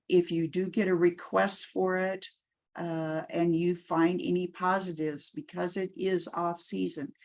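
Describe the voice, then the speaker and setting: A woman hosting a conference call in an informal, halting way. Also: on the low side, creaky, a little mellow. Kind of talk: informal meeting moderation.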